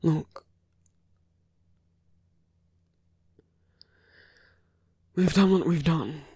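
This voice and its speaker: An actor playing a brooding, protective male villain in love with his enemy, in an intimate audio roleplay with a breathy voice that often drops toward a whisper. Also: fairly high pitch, measured pace, dark tone, dry recording, clean studio sound. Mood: earnest pleading. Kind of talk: ASMR roleplay audio drama, intimate monologue.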